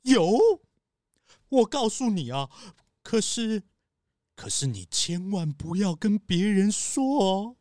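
A woman's voice: mid-range voice, animated, slow, a little mellow, dry recording, studio quality. A woman playing a timid male gibbon gardener who hesitates and stammers secretively, in a children's audio drama. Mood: fearful, secretive.

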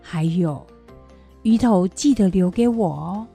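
A woman playing a kindly grandmother in a children's educational radio drama, with a husky, plain-spoken delivery. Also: lowish voice, takes it slowly, dark tone, faint music behind, exaggerated voice. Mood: caring. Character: kindly grandmother raising her grandchildren, fond of fish heads.

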